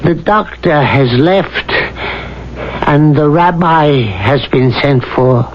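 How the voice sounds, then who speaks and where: A man's voice: a little high, animated, takes it slowly, slight background noise. An actor playing a grave townsman who tells a sad tale in solemn, old-fashioned phrasing in a 1970s radio drama. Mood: grave, urgent. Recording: dull old radio recording. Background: music.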